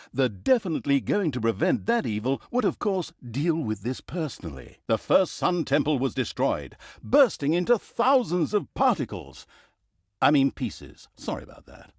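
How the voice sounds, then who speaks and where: A man's voice: medium pitch, darkish tone, no room sound, studio quality. A man playing a sardonic, theatrical storyteller who wryly narrates a fantasy video game. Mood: dry sarcasm. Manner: theatrical, wry, sarcastic. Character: sardonic, theatrical male storyteller mocking heroes and scolding the player.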